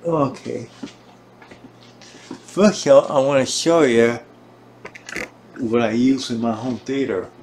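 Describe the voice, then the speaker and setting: A man talks casually and haltingly, as if showing someone around while he films. His pace is slow and his phrases come in small pieces. The sound is roomy and distant from the mic, with a faint layer of noise. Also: medium pitch, steady tone, a little mellow. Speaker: home recording hobbyist presenting own gear. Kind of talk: casual gear tour, narrated while filming. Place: home recording room, indoors. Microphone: camera on tripod, some distance away. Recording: roomy echo, distant mic, hissy background.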